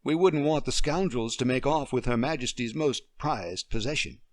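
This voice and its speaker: A man reading a story aloud, voicing a kind, playful young woman who talks gently and plays along with a child's pretend game. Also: mid-range voice, moderate pace, dry recording, studio quality, natural voice.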